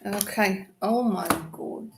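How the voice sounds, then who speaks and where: A woman's voice: mid-range voice, steady tone, takes it slowly, noisy background. A woman doing a live tarot reading on a stream, chatty and affectionate, calling viewers darling. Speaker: tarot reader.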